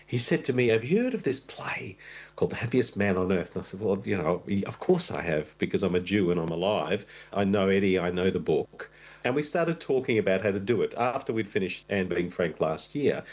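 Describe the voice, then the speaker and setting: A middle-aged man who grew up in Russia, an actor and producer chatting candidly as an interview guest, with wry asides. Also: lowish voice. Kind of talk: conversational interview.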